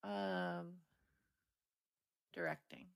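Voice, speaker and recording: Female co-host, noticeable vocal fry, casual conversational film talk. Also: fairly low pitch, steady tone, very slow. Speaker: podcast co-host.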